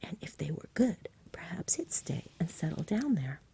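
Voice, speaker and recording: A woman reading a bedtime story in a soft, whispery ASMR style, gentle and unhurried, close to the mic. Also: lowish voice, big pitch swings. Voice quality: whispery, breathy. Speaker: storybook reader.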